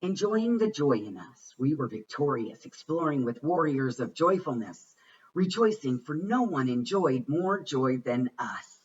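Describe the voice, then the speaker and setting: A man giving an expressive read-aloud of a rhyming children's picture book, with deliberate, dramatic phrasing. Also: medium pitch, slightly rough. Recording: muffled, distant microphone.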